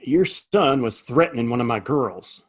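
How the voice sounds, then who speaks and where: A man's voice: medium pitch, expressive, normal speed, natural voice. Male actor, decent saloonkeeper in a Western audio play: mid-range voice, apologetic, hesitant, sincere. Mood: earnest protest. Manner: hesitant, earnest, stammering. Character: decent, apologetic saloon bartender in Old West. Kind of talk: Western audio drama acting.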